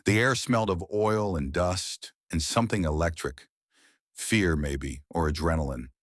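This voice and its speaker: A man reading a psychological thriller audiobook with tense, dramatic pauses. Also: deep voice, no room sound, studio quality. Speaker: audiobook narrator. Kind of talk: scripted thriller narration.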